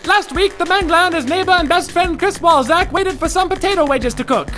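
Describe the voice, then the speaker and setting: Comedy serial announcer, acted out: extremely high, bright voice, theatrical and bombastic, over light music. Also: fairly quick. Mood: dramatic. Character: breathless, melodramatic serial narrator announcing cliffhangers. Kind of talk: scripted serial narration for audio comedy.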